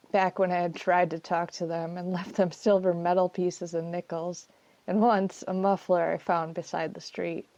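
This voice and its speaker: A woman with a slightly low, soft-edged mellow voice reads a personal essay from a script. The recording is dry and close, with a dull, lo-fi sound. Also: dry recording. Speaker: essayist reading own work.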